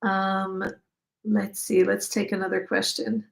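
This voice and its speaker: A woman's voice: medium pitch, monotone, slow pace, vocal fry. A young woman with an Israeli accent, a native Hebrew speaker, hosting a casual live chat and answering viewer questions. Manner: chatty, reads out viewer comments, many fillers.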